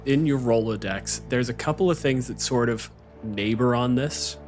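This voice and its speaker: A man's voice: medium pitch, normal speed. A man narrating an improvised tabletop roleplaying game as game master, in a casual, sweary way. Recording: music playing behind the voice. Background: background music.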